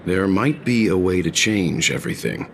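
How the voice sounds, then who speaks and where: A man playing an earnest, philosophical traveler telling a story in a video game, deliberate and solemn with weighty pauses. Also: sits low, very animated, gravelly, fairly bright tone, slight background noise, heavily acted. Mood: grave, earnest.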